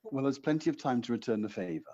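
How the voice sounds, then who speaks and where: An actor playing an affectionate, gently teasing husband on the telephone in an audio play, reassuring in manner. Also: male voice, mid-range voice, animated, fast talker, natural voice. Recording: dull lo-fi phone call sound.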